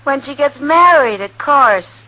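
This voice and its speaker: A woman's voice: high voice, animated, a little slow, bright, forceful tone, highs cut off, full character voice. An American actress playing a worldly, loyal saloon woman in a 1950s radio Western, talking in a frank and familiar way. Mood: knowing, teasing. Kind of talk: 1950s radio drama acting. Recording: noisy vintage 1950s radio recording.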